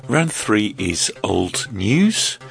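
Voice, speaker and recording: A man with a cold and a croaky voice, hosting a quiz and reading out the questions clearly. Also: fairly low pitch, big pitch swings, extremely slow, fairly bright tone, faint music behind. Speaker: quiz host.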